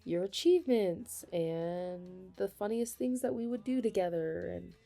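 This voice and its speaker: A young woman playing a flustered, affectionate best friend with a secret crush in an intimate ASMR roleplay, speaking hesitantly and haltingly. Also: medium pitch, lively intonation, creaky, warm tone. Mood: shy, confessional. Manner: hesitant, halting, breaking into nervous laughs. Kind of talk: ASMR romantic roleplay, intimate conversational acting.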